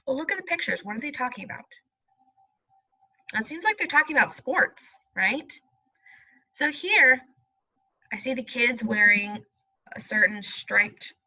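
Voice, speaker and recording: A woman teacher leads a read-aloud lesson in a bright, forward voice that sits a little high. She goes slowly and reassures her pupils, often checking in with a question. The recording is a little dull. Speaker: elementary school teacher.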